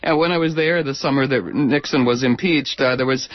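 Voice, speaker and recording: A man's voice: mid-range voice, brisk, dry recording. A man hosting rambling, effusive radio talk. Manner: digressive, full of fillers and restarts. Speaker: radio host. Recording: dull, boxy radio audio.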